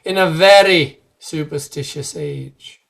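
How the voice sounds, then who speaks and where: An actor playing a grave, formal man who recounts a dark legend with deliberate drama, in old-time radio horror style. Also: fairly high pitch, huge pitch swings, exaggerated voice. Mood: grave, dramatic.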